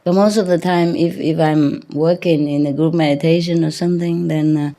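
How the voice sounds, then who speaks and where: Mellow low-voiced woman chatting informally. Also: steady tone, moderate pace. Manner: chatty, rambling, teasing, often chuckling. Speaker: spiritual teacher leading a group talk. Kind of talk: casual anecdotes and banter with an audience.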